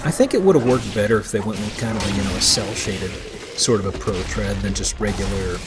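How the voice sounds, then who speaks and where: A man's voice: on the low side, fast. A man giving casual, joking let's play commentary with dry wordplay as he plays. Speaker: let's play host playing the game. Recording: game music behind commentary. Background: video game music.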